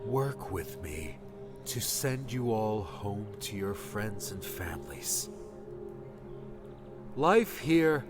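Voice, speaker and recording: A man's voice: mid-range voice, pitched above the performer's own voice. A man playing an earnest, burdened young male hero in an audiobook, speaking in a solemn, heartfelt way. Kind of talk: audiobook character dialogue.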